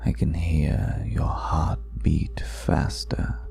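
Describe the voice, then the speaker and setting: A man playing a seductive, ageless vampire in an intimate ASMR roleplay, speaking slowly and half in whispers, teasing with quiet menace, close to the microphone. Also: little pitch movement, soft background music, full character voice. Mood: hungry, seductive. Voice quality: very deep, gravelly. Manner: slow, breathy half-whispered delivery with lingering pauses.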